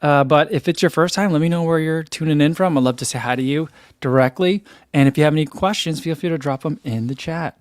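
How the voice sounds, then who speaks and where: A man's voice: fairly high pitch, fast, no room sound, clean studio sound. A young man with a soothing voice hosting a casual running live stream from home, chatting and reading comments aloud into a desk microphone. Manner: relaxed, chatty, laughs easily, many fillers. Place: home room. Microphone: desk microphone by computer.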